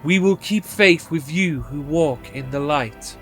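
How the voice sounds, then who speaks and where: A man performs a regal, ancient dragon from a fantasy game. The speech is solemn, stately and archaic, pitched fairly high, with music behind it. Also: a little slow, close to an everyday voice.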